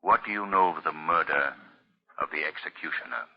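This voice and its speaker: An actor playing an eerie, commanding invisible crime fighter in 1940s radio mystery style. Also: male voice, rumbling low, fairly quick. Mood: eerie, ominous. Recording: dull vintage radio recording.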